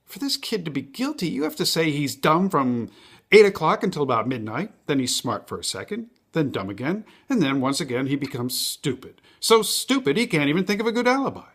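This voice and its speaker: Guy doing a stage monologue as an earnest juror, fairly high voice, really animated, pushing his point hard. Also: a little fast. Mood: insistent, incredulous. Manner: emphatic, rhetorical, building arguments by repeated questions. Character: earnest, insistent male juror arguing reasonable doubt.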